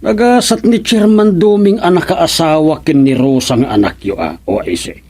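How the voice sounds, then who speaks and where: A man with an Ilocano accent playing an animated, nosy village councilman in a radio comedy drama. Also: fairly high pitch, normal speed, close to an everyday voice. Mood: inquisitive.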